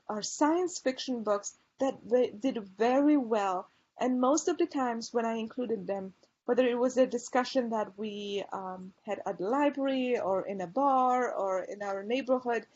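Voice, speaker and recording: A woman's voice: fairly high pitch, a little mellow, dull audio. A woman from Poland with a Polish and Chicago accent, giving a conference presentation and recommending books with enthusiasm and frequent fillers. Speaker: librarian presenter.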